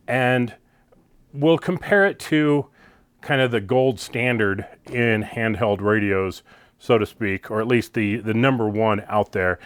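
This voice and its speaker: A man with a medium-pitched voice presents an informal gear review. He explains things in plain conversational terms and leans on key words for emphasis. The sound is dry, with very little room echo. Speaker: product reviewer and off-road vlogger. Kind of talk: casual product review talk to camera.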